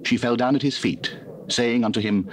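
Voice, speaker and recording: A man with a British accent reading dramatized scripture as narrator, measured and solemn. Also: mid-range voice. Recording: hissy old cassette recording.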